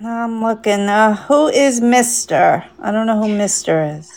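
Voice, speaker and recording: A woman's voice: medium pitch, expressive, darkish tone. A woman with a New Jersey accent co-hosting a live podcast, casually reading out and answering listener comments. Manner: unhurried, reads out listener comments. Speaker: podcast co-host.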